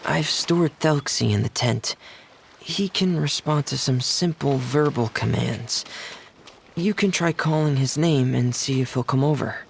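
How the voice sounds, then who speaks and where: An actor playing a polite, soft-spoken young male mechanic in a video game, earnest and measured in manner. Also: mid-range voice, gravelly, a little hiss or hum. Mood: earnest, reserved. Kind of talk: video game cutscene acting. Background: game background music.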